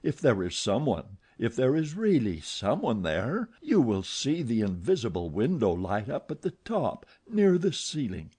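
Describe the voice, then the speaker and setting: A man's voice: medium pitch, wildly swooping pitch, unhurried, few pauses, mellow, husky, exaggerated voice. A man voicing an angry, jealous masked man who snarls commands and mockery in an audiobook. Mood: mocking. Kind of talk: audiobook character voice.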